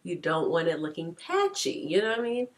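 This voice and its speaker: A woman's voice: on the low side, very animated, a little fast, slight background noise. A congested woman with a stuffy nose, chatting casually through a makeup tutorial and laughing often. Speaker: beauty vlogger.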